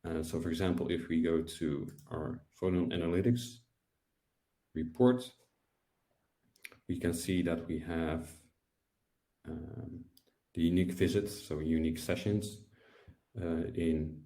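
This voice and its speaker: A young man presenting an explanatory software tutorial in a webinar over a video call. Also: sits low, slow, pauses often. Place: indoor room, video call. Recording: roomy echo.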